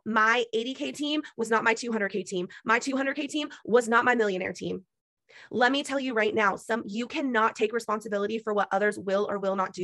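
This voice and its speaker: A woman's voice: on the high side, a bit bright, no room sound. A woman gives a preachy, emphatic motivational talk to her team on a video call while losing her voice. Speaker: business team leader.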